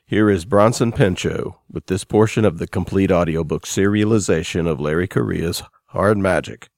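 A man's voice: low-pitched, normal speed, gravelly, a little mellow, dry recording, clean studio sound. A man presenting as a podcast host, reading a scripted introduction. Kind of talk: scripted narration.

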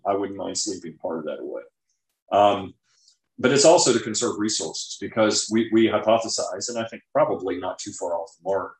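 A man being interviewed as a guest author and scientist, explaining things informally and conversationally with everyday examples. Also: deep voice. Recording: roomy, echoing sound.

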